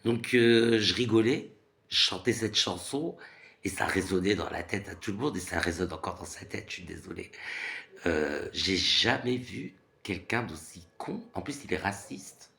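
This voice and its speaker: A man's voice: low-pitched, moderate pace, creaky, fairly bright tone. A man in his thirties from France, ranting casually in a rambling monologue full of fillers and repeated phrases. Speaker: vlogger.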